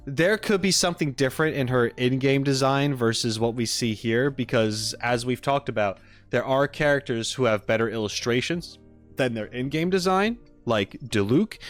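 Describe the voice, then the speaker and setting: A male streamer talks casually to his chat in a mid-pitched voice, with faint music behind him. He rambles through his thoughts in a tentative way, often hedging and qualifying what he thinks. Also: smooth. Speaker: streamer reacting with live chat.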